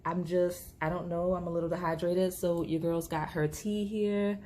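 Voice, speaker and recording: A woman, slightly hoarse, chatting casually through a tarot reading to camera, with lots of fillers and restarts. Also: on the low side, level tone, moderate pace, mellow, slight background noise. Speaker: tarot reader.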